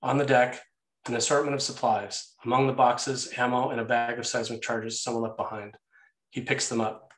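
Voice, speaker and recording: A man with a medium-pitched voice narrates a screenplay, reading out action and scene headings. He is recorded in an echoey room. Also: level tone, normal speed, fairly bright tone.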